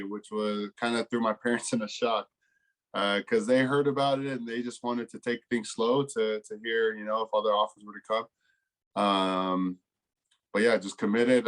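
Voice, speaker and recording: A man in his twenties from California with an American accent, giving casual, laid-back interview answers with frequent pauses. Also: on the low side, rough recording. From California, United States. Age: 20s. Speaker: college football player, interview guest.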